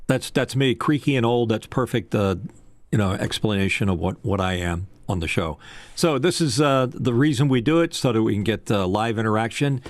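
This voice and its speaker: A man's voice: lively intonation, moderate pace, dry recording. A man co-hosting a casual talk show about radio technology, rambling and poking fun at himself. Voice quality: deep voice with strong upper mids. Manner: loose, rambling, self-deprecating joker. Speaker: co-host.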